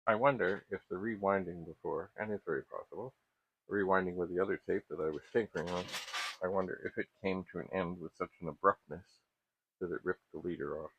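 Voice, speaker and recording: A middle-aged man giving casual, unscripted tech commentary as he works, thinking aloud in a halting, self-questioning way. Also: sits low, breathy edge, no room sound. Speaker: host of a vintage computer repair channel. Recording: muffled, phone-like narrow band.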